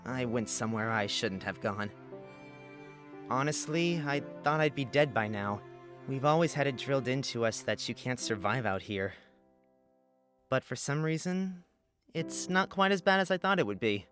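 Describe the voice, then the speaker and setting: A woman voicing an uneasy exiled young man, the earnest and halting hero of a fantasy visual novel. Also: a bass voice, expressive, voice pushed down. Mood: uneasy, subdued. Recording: music playing behind the voice.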